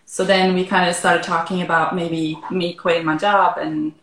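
A woman from Norway chatting casually as an interview guest, relaxed and full of "like" and "um", heard over a remote call. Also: on the low side, normal speed, echoey room, low-quality recording. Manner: relaxed, frequent "like" and "um". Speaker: arborist guest.

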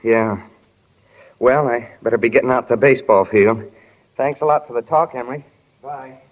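A man's voice: mid-range voice, moderate pace, lightly acted. An actor playing a bashful, admiring small-town boy of sixteen, hesitant and awkward, in 1940s radio drama style. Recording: dull 1940s radio recording.

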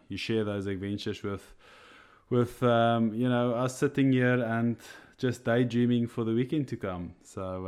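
A man with an Afrikaans accent, his English a second language, sits in an office and talks coffee with friends on a remote podcast call. His voice sits on the low side, and he stops and restarts often, with short chuckles between thoughts. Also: a little mellow. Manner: halting, many restarts and chuckles. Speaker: coffee roaster co-host. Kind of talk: casual podcast chat explaining coffee.

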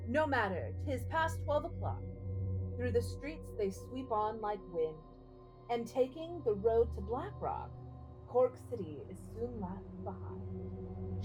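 Lively high-voiced woman podcaster. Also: poor audio. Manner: playful, chatty, theatrical. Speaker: podcast co-host. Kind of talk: casual chat, dramatic poem reading.

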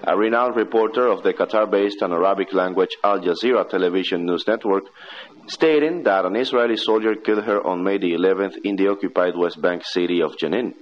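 A man reads scripted radio news in a formal, measured manner. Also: sits low. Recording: dull, lo-fi shortwave radio broadcast.

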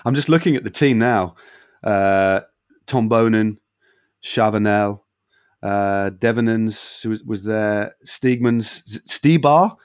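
A man's voice: lowish voice, takes it slowly, clean tone, no echo, studio quality. A man hosting an interview, asking long, rambling questions full of fillers. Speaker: interviewer, podcast host. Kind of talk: casual interview.

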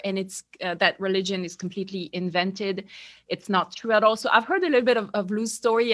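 A woman's voice: medium pitch, a bit bright. A middle-aged woman from France with a French accent, speaking conversationally as a podcast guest about personal experience.

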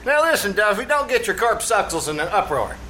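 An actor playing a breezy, wisecracking tavern manager with New slang and mangled grammar in a live old-time radio comedy. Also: male voice, high voice, very animated, fairly quick. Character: wisecracking, malapropism-prone male manager of a shabby tavern. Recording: live theater stage with background noise.

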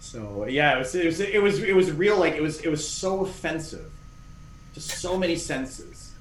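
A man's voice: fairly high pitch, animated, normal speed, slight background noise, rough recording. A man in his thirties from Canada, telling stories in a casual, rambling, self-deprecating way, full of restarts and fillers. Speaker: podcast guest. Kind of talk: casual conversational storytelling.

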